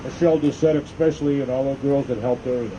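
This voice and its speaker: A man hosting an outdoor ceremony, giving informal, halting, unscripted announcements. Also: medium pitch, steady tone, warm tone. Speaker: master of ceremonies at a veterans memorial event. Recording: dull, muffled sound with faint noise.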